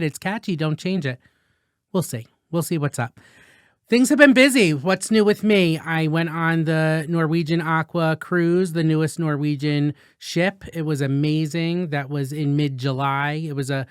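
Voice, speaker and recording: Young male host, chatty and rambling, smooth tone, close dry mic. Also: on the high side, normal speed, no room sound. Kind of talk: casual podcast chat.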